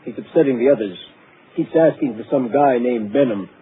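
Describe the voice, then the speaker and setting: A man's voice: medium pitch, brisk, close to an everyday voice. An actor playing an uneasy male ward attendant who talks in a blunt, working-class way, in a 1940s radio drama. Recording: tinny old radio broadcast, faint hiss.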